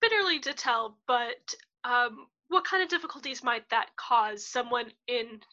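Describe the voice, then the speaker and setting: A woman interviewing in a casual, halting, chatty way, recorded on muffled lo-fi audio. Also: a little high, lively intonation, pushed and bright. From outside the European Union.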